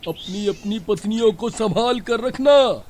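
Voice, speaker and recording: A man plays a jocular village husband from a cartoon tale. His voice is high for a man and he takes his time over each line. There is faint noise behind him. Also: measured pace, a little mellow. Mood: advising, amused. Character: joking, sweet-toothed village husband. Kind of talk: exaggerated cartoon story acting.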